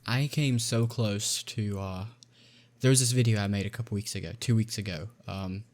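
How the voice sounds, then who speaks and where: A man's voice: fairly low pitch, fairly even intonation, normal speed, a little mellow. A young man chatting on a podcast in an earnest, encouraging way, hesitating with ums as he talks. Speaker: YouTuber and podcast co-host. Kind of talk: casual chat.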